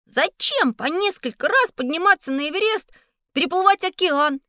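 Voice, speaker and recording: A high, bright boy's voice with lively swings in pitch. He is a cheeky, eager schoolboy in an educational audio drama, always asking and expressive. The sound is close and dry. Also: normal speed, no echo, exaggerated voice. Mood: curious. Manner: inquisitive, expressive questioning. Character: curious busy schoolboy Petya, cheeky and eager. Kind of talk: scripted children's educational audio play.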